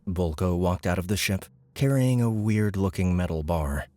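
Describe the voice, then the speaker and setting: A man's voice: sits low, moderate pace, a little mellow, no room sound. A man reading scripted fiction narration as an audiobook narrator.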